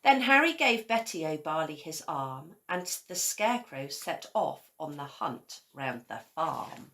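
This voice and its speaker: Slow lowish-voiced lady teacher reading a kids story out loud, expressive. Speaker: primary school teacher reading to pupils. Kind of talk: children's storybook read-aloud.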